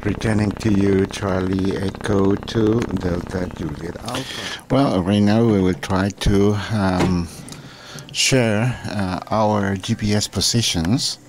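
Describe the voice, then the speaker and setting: A man's voice: lowish voice, fairly even intonation, slow, background noise. A man from Chile with a Chilean Spanish accent, a radio operator talking effusively through a ham radio contact in sometimes hesitant phrases. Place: indoors at a desk, small room.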